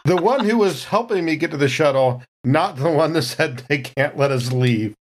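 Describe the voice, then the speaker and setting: Higher-voiced man chatting as a game player. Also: animated, moderate pace. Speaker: tabletop role-playing player. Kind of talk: casual game chat.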